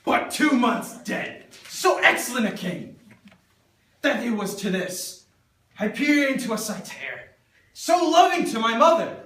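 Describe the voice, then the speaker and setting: A man's voice: very high-pitched, sing-song, measured pace, a bit bright, heavily acted. A man playing an overwrought, grieving young prince in a hammy, exclamatory amateur Shakespeare recitation, stumbling over words. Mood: agitated. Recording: echoey room, distant mic.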